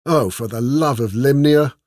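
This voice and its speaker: A man's voice: medium pitch, measured pace, a little mellow, no echo, studio quality, natural voice. A man voicing a bossy, exasperated young noblewoman in an audiobook, with a snappish, imperious manner.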